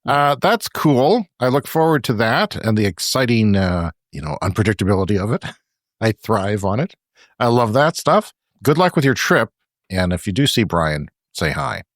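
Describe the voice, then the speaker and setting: A man co-hosting a podcast, chatting casually and laughing between his remarks. His voice sits fairly low but swings up and down a great deal. The sound is close and dry, with no room in it. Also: expressive, normal speed, no echo. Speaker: podcast co-host. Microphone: remote podcast call.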